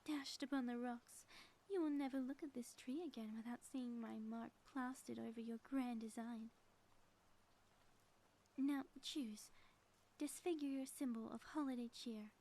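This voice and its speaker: Girl doing a villainess wife for asmr roleplay, high n mellow, dramatic taunting, super flowery wording. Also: steady tone, measured pace, poor audio. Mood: commanding, menacing. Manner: florid, grandiose villain diction. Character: theatrical ex-villain woman, gloating, mock-menacing, playfully taunting spouse. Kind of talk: scripted audio roleplay monologue, ASMR.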